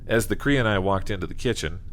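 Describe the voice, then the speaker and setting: A man's voice: fairly low pitch, fast, slight background noise, close to an everyday voice. A man playing a plain-spoken, troubled farmer of fifty-one, telling his story in an audio drama with folksy, hesitant earnestness. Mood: earnest, troubled. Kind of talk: first-person audio drama narration. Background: music.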